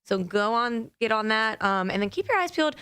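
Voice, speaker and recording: A young woman guesting on a morning radio show, chatting in a bubbly, expressive way and laughing easily. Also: on the high side, fairly quick, clean tone. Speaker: guest from a local magazine. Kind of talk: casual radio guest chat. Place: radio studio.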